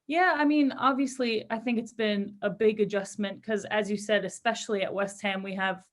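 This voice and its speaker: A woman's voice: mid-range voice, steady tone, moderate pace. A young woman speaks as a footballer in an interview, with a mid-range voice. Her answers are casual and conversational, delivered in an upbeat, earnest manner. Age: young adult. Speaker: professional footballer being interviewed.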